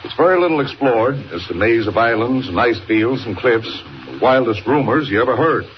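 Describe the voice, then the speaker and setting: An actor playing a brisk, urgent newspaper boss in 1940s radio style, speaking with emphatic authority. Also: male voice, medium pitch, expressive, natural voice. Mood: urgent, intriguing. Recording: muffled, noisy 1940 radio recording.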